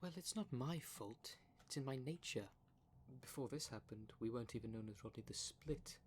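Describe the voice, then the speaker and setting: A man's voice: mid-range voice, animated, normal speed, a little hiss or hum, lightly acted. A man playing a prim, defensive dream demon in a formal, fussy, easily exasperated manner for an ASMR roleplay drama. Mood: resigned. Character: prim, defensive dream demon, one half of split creature.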